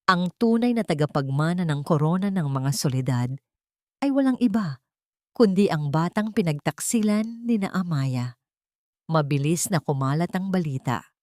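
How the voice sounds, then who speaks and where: A woman narrating a dramatic audio story in a steady, solemn manner. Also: sits low, dry recording, clean studio sound.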